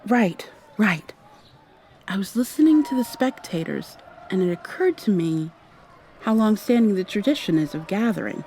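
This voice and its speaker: A woman playing a brisk, awkward time traveler in an ASMR roleplay, talking to the listener in a rambling, wry way and thinking out loud. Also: mid-range voice, expressive, slight background noise, lightly acted. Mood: rambling, musing. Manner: rambling, hesitant, thinking out loud mid-sentence. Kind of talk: ASMR roleplay, acted one-sided dialogue to the listener.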